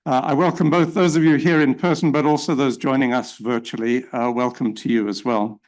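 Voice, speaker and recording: Middle-aged South African man, formal opening remarks, measured pace, many fillers. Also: mid-range voice, steady tone, darkish tone. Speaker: symposium chair. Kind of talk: formal welcome speech.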